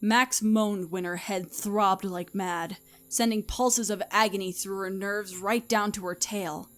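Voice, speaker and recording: A woman reading scripted story narration as the narrator, in an expressive storytelling manner. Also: mid-range voice, measured pace, soft background music.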